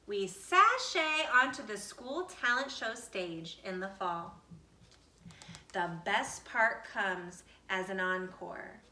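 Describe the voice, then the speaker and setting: A woman reading a children's picture book aloud expressively, with deliberate, dramatic phrasing. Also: on the high side, bright, forceful tone, a little hiss or hum. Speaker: storybook reader. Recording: roomy echo, distant from the mic.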